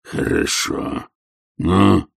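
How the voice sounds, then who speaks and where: A man voicing a hulking, slow-witted male werewolf baron with a growling voice and slow, monosyllabic speech. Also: dry recording, clean studio sound. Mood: gruff. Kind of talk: audiobook character voice.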